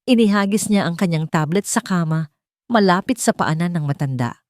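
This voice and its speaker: A woman narrates a scripted dramatic love story in a fairly low voice. Her delivery is suspenseful and emphatic, with pauses for effect. The recording is dry and close. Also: lively intonation, a little mellow, no room sound.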